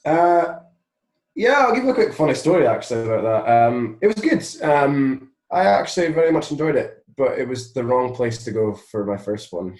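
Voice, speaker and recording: A Scottish man, a musician being interviewed, telling anecdotes casually in a rambling, animated way. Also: medium pitch, moderate pace, echoey room. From Scotland.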